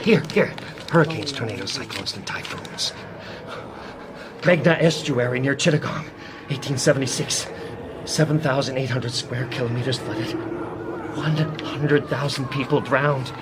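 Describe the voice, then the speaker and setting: An actor playing a terrified, obsessed man besieged by a living wind in a radio drama, halting and overwrought. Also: mid-range voice, close to an everyday voice. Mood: grim, obsessive. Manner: overwrought, halting, breaking into manic laughter and cries. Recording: noisy old radio drama broadcast.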